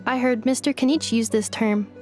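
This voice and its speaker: A woman's voice: a little high, brisk, music in the background, natural voice. An actress voicing a polite, literal-minded female robot in a video game, speaking in stilted, formal, emotionless phrases. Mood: flat, inquisitive. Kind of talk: scripted video game dialogue.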